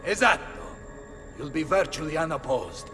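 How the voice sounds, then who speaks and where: An actor playing a bold, hot-blooded Italian mercenary captain in a video game, with an Italian accent and blustery, dramatic delivery. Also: male voice, on the high side, very animated, normal speed. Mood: confident. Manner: blustery, dramatic, swinging in emphasis. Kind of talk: video game cutscene acting. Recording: long reverb, music behind.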